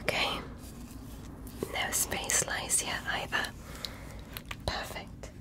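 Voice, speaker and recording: A woman playing a curious, polite alien doctor in a gentle, methodical ASMR medical roleplay, whispering her questions. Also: slow, lightly acted.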